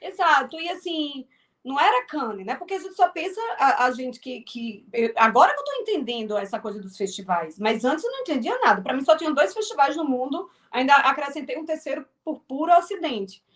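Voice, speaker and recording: A woman's voice: sits high, sing-song, fairly quick. A woman from Northeast Brazil with a strong Northeastern accent, a filmmaker and actress chatting as an interview guest, with many restarts. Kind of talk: casual interview talk.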